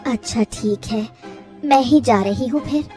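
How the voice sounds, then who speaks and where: Woman as a gentle, tired, slow-speaking wife. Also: on the high side, soft background music, close to an everyday voice. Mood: weary. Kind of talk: Hindi cartoon story dialogue.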